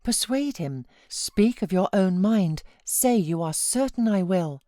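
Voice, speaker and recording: A woman voicing a feverish, self-pitying young married gentlewoman from a classic novel, with dramatic outbursts and anguished pleading. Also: medium pitch, lively intonation, slow, no room sound, voice pushed up. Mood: pleading, tormented.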